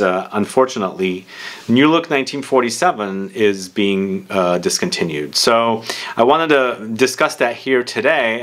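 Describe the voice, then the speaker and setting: Guy with a lowish voice doing a chatty review vid, really into it, repeats words for emphasis. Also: a bit bright. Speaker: fragrance reviewer and video host. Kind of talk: casual review talk to camera.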